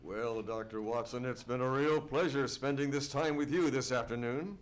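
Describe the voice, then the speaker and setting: A man's voice: medium pitch, normal speed, close to an everyday voice. A man playing a courteous old-time radio announcer in a live stage show, formal and polite in his presentation. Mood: cordial.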